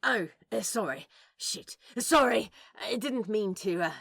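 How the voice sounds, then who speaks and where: A woman's voice: medium pitch, expressive, measured pace, gravelly, fairly bright tone, slightly rough, no echo, clean studio sound, full character voice. A woman voicing a skeptical, awkward cool man in a fan fiction audio drama, wry, casual and a little hesitant. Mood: startled, flustered.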